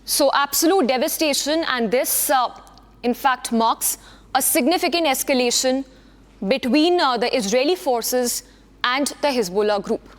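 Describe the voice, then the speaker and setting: A woman's voice: high-pitched, normal speed, big-room sound. A woman anchoring a live news bulletin, reading it loosely with many fillers and restarts.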